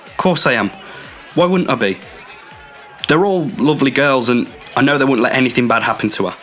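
Actor as controlling young boyfriend. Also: male voice, mid-range voice, fairly quick, fairly bright tone, faint music behind, natural voice. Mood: defensive, measured. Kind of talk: naturalistic student radio drama acting.

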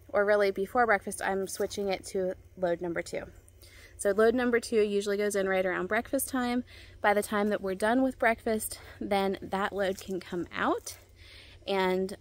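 Chatty mom vlogging outdoors. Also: female voice, mid-range voice. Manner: chatty and practical, with frequent ums and self-corrections. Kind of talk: casual vlog explaining a routine. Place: outdoors in a backyard by clotheslines. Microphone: phone held at arm's length.

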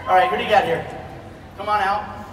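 A man's voice: sits high, steady tone, a little fast. A middle-aged man hosting a panel on stage in a casual, chatty style. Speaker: panel host. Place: large convention hall stage. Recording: echoey hall PA from far off, crowd noise.

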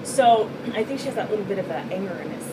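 A woman's voice: mid-range voice, animated, quick pace, a loud crowd. A woman in her thirties chatting casually as a vlog host in a hyper, teasing, bossy way. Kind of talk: casual, playful vlog chat. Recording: distant mic, loud room hum.

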